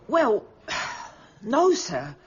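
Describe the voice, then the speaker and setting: An actress playing a plain-spoken, defensive working-class English witness in a radio drama, colloquial and deferential. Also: female voice, a little high, huge pitch swings, very slow, lo-fi sound, full character voice. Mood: uneasy.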